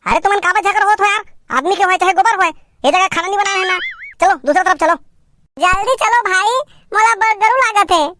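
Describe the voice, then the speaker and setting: An excitable village man from a comedy cartoon, voiced by a man, squeaks in an extremely high, pitched-up voice. The tone is bright and sharp, with a Chhattisgarh accent. He exclaims, teases and grumbles, and the sound is very dry. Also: fairly quick, no room sound. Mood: grumbling, impatient. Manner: exclamatory, teasing, complaining. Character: excitable, grumbling male villager on a picnic outing.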